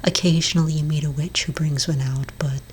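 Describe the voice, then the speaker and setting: Whispering woman, gentle ASMR therapist. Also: low-pitched, fairly even intonation, a little hiss or hum. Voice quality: breathy, whispery. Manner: gentle, hesitant, halting counsel. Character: gentle female therapist for witches. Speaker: ASMR roleplayer playing a therapist. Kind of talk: soft-spoken ASMR roleplay.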